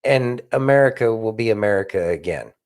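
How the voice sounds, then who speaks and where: A man's voice: fairly low pitch, animated, brisk, a little mellow. A man co-hosting a political talk podcast, speaking in a blunt, emphatic and indignant way. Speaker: podcast co-host.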